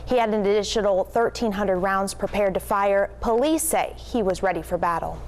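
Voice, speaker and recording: A woman's voice: mid-range voice, normal speed. A woman anchoring a television newscast, reading scripted stories in a presenter's delivery. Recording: clearly noisy TV news aircheck.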